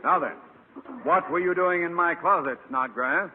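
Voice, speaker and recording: A man's voice: on the high side, moderate pace, close to an everyday voice. An American man acting as a blustering, irritable middle-aged school principal in a vintage radio comedy, pompous and exasperated. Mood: stern. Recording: scratchy, narrow-band old radio recording, loud noise.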